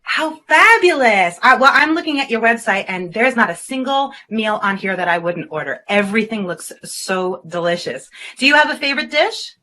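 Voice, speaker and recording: Effusive, bright-voiced woman hosting. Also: mid-range voice, expressive, a little fast, few pauses. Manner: effusive, gushing. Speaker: talk show host. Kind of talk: upbeat interview show presenting.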